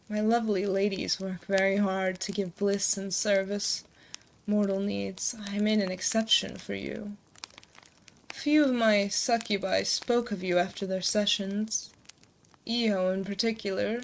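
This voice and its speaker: Woman acting an age-old demon queen, succubus, motherly, soothing, softly teasing, slow intimate ASMR delivery, medium pitch, close mic. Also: lightly acted. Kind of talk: comforting ASMR roleplay. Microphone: close binaural 3Dio microphone. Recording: close binaural mic, noticeable background noise.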